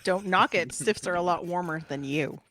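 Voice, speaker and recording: A woman's voice: fairly low pitch, moderate pace, fairly bright tone. Playful woman at a table read. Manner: playful, joking. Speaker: table read cast member. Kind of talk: casual chat during a script read.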